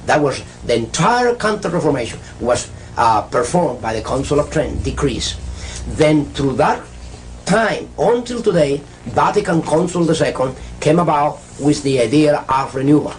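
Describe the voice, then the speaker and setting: A man's voice: fairly high pitch, lively intonation, normal speed, rough recording. A man speaks like a teacher of prophecy. He repeats key phrases for emphasis and expounds step by step. The interview recording carries light noise. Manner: emphatic, repetitive, didactic. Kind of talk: interview answers, expounding church history and prophecy.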